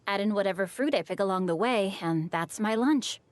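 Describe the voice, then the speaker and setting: A woman's voice: medium pitch, brisk, lightly acted. A woman voicing an eloquent, bookish young boy hero in a video game, speaking in a formal, poetic and articulate manner. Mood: cheerful, sly. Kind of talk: scripted video game dialogue.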